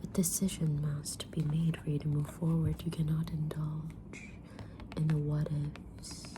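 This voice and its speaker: A woman playing a kind, mysterious tarot-reading witch in a gentle, breathy whisper for an ASMR roleplay. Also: low-pitched, monotone, background noise. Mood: knowing, soothing. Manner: gentle, deliberate, soft-spoken, drawn-out pauses.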